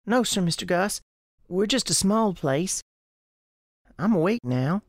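A woman plays a lost little girl from a radio drama, with a Southern US accent. She is earnest, anxious and polite, her pitch swinging freely in a dry, close recording. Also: animated, unhurried, dry recording.

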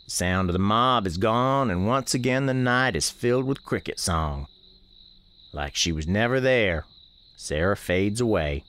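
A man narrating a radio play as a gentle country storekeeper, with folksy, colloquial phrasing. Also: fairly low pitch, animated, unhurried. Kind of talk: first-person storytelling in a radio play.

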